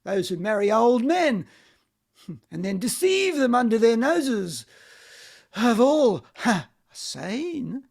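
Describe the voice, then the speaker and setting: A woman's voice: a little high, very animated, takes it slowly, vocal fry, darkish tone, full character voice. A woman playing a despairing, self-loathing middle-aged man in an amateur play reading, in an anguished, theatrical manner. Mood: bitter, mocking. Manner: anguished, theatrical, bitterly laughing.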